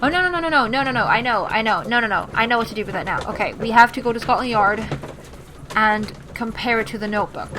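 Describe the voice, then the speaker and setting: A woman's voice: on the high side, fast talker. Woman gamer with mixed transatlantic accent, noisy recording. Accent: mixed American and British. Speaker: gaming video host. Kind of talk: casual game playthrough commentary, reading game text aloud.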